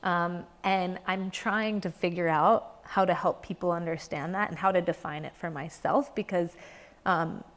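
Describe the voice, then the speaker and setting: A woman's voice: lowish voice, steady tone, moderate pace. A young adult woman, a dance company director, speaking earnestly in an interview and searching for her words. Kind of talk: reflective interview talk. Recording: reverberant hall.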